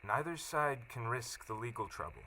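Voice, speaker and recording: Awkward company official man, very sharp bright voice, halting, sorry but evasive, bit of noise. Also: medium pitch, low-quality recording. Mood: apologetic, awkward. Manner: hesitant, halting, polite. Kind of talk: naturalistic audio drama acting.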